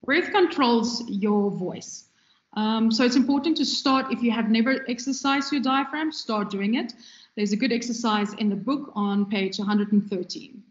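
A woman giving a measured, instructive lecture on radio presenting, with a trained, loud voice and frequent ums. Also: fairly high pitch. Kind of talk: recorded lecture. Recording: echoey, dull lo-fi room recording.

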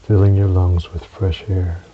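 Very deep, slow male meditation voice. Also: level tone. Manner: soothing, measured, with long pauses between short phrases. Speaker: guided meditation narrator. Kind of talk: guided relaxation meditation. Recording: dull recording with audible hiss.

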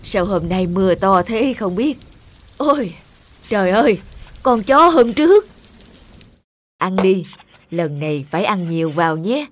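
A woman playing a kindly, compassionate elderly grandmother in an animated tale, gentle and caring. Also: medium pitch, measured pace, pauses often, background noise. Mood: concerned. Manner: gentle, caring, musing aloud. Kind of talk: animated moral tale dubbing.

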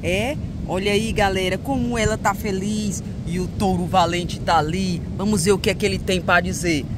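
A woman narrating children's toy play outdoors in a sing-song, animated way, with playful sound effects, recorded on a phone. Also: mid-range voice, normal speed. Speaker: host of a kids' toy channel. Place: outdoors on grass in a beach park. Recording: outdoor noise with passing traffic.

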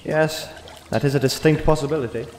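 A man's voice: on the high side, fairly even intonation, fairly quick, darkish tone, lightly acted. A man playing a prim, indignant, grudging adventurer with formal, exasperated phrasing in a comedic fantasy audio drama. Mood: resigned. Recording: echoey reverberant room with some noise.

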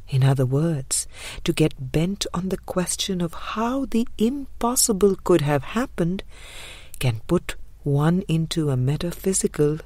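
Slow, low, husky woman reading aloud. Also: warm tone, dry recording. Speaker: audiobook narrator. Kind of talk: scripted spiritual book reading.

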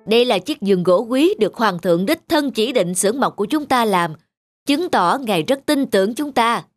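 A woman's voice: a little high, lively intonation, moderate pace, no room sound. A woman voicing a strict, fretful middle-aged master carpenter in a cartoon folk tale, with a dramatic, exclaiming delivery. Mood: stern. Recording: music behind the voice.

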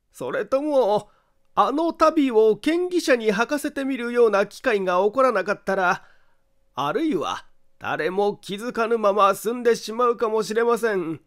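Amateur detective for mystery audiobook, male reader acting: creepy amusement, high pitch, unhurried deliberate accusations. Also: a bit bright. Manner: deliberate, theatrical, amused emphasis. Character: eerie chuckling amateur detective, calm, relentlessly accusing man.

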